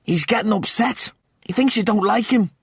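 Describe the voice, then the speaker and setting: A man voicing a gruff, weary castaway of about sixty in a comic science fiction audiobook, terse and grumbling. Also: sits high, normal speed, no echo, studio quality, pitched above the performer's own voice, heavily acted. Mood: insistent. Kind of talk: audiobook character acting.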